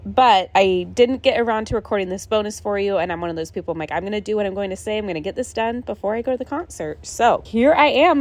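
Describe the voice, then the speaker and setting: A woman's voice: medium pitch, darkish tone. A woman hosting a podcast solo, talking casually in an enthusiastic, chatty manner. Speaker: podcast host.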